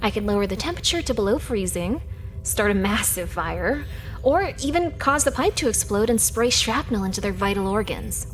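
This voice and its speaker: A woman's voice: medium pitch, lively intonation, normal speed, faint music behind, close to an everyday voice. An actress playing a sardonic, teasing young female hacker in a sci-fi video game, speaking in a matter-of-fact way. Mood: smug, menacing. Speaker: video game character actor. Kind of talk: scripted video game character dialogue.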